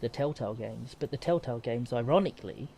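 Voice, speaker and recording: A man's voice: medium pitch, fast talker, vocal fry, dark tone, a little hiss or hum. A man co-hosting a casual gaming chat, talking in a rambling, emphatic way and often correcting himself. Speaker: podcast co-host. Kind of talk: casual chat about video games.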